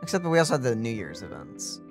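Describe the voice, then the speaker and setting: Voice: mid-range voice, sing-song, fast, faint music behind. A young adult let's play co-host making casual conversation and reacting to a game. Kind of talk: casual gaming chat and reactions.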